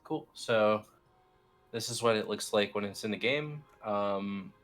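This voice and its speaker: A man with a low voice guides someone through software one click at a time. His delivery is informal and a bit hesitant, like a colleague instructing over a screen. Faint music plays underneath. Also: unhurried. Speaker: team lead explaining software steps. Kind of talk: casual step-by-step screen tutorial.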